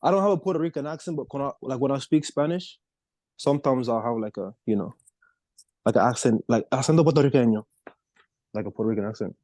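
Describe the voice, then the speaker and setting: A man's voice: medium pitch, fast talker, mellow, no echo. A young American man chatting casually as a podcast co-host, rambling with lots of fillers. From United States. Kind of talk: casual chat.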